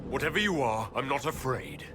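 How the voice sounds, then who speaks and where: A defiant heroic leader, acted by a man, middle in pitch with a forceful edge. The phrasing is terse and decisive, swinging widely in tone like game cutscene drama. Faint music plays behind. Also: animated, brisk, close to an everyday voice. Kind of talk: dramatic video game acting.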